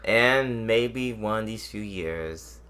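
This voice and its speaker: A man's voice: lowish voice, slow pace, bright, forceful tone, smooth. A man co-hosting a casual gaming podcast, speaking in short, blunt replies. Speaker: podcast co-host. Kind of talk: casual video game chat.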